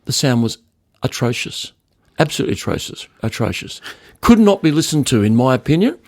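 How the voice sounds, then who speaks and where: A man's voice: medium pitch, sing-song, brisk, no room sound, clean studio sound. An older man telling stories in a conversational interview, speaking emphatically and repeating words to stress them. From Australia. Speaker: loudspeaker designer, podcast guest.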